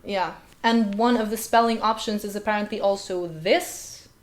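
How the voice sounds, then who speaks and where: A woman's voice: medium pitch, fairly even intonation, moderate pace. Sarcastic woman linguist. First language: Slovenian. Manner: sarcastic, full of rhetorical questions. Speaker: linguist and video host. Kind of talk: commentary vlog.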